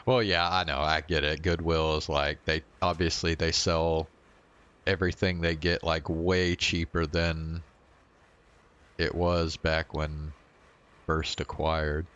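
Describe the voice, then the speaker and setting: A man streaming and chatting casually in a laid-back, halting, slangy way. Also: extremely low pitch, little pitch movement, fairly bright tone, clean tone, muffled recording. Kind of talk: casual livestream chat.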